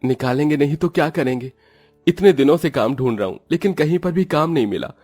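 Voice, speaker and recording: A man's voice: on the high side, expressive, a little fast, darkish tone, soft background music, voice pushed up. A man voicing a poor, desperate village father in a cartoon story, anxious and exclaiming. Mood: worried.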